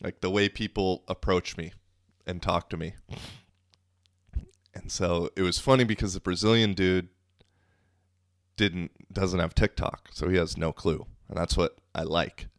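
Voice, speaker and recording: Young male podcast host, low-voiced and dry-miked, loose profane storyteller. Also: fairly even intonation, unhurried, long pauses, dry recording. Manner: loose, profane, rambling with many fillers. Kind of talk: casual storytelling monologue.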